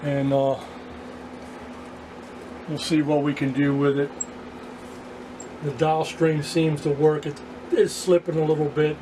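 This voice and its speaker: Man, fairly high voice, casual repair vlogger, halting delivery, noisy amateur recording. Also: flat delivery. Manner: halting, pausing mid-sentence. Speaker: vlogger repairing vintage radios. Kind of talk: casual hands-on repair walkthrough.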